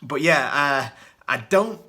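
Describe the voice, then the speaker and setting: A man's voice: fairly high pitch, lively intonation, slow pace, pushed and bright. A young man with a mild northern English accent from the northwest, casually answering viewer questions off the top of his head, with plenty of ums and small chuckles. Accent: mild northern English, Lancashire and Yorkshire mix. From northwest England. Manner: hesitant, lots of ums and uhs, chuckles often. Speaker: kendo channel host answering viewer questions. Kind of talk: casual off-the-cuff Q&A.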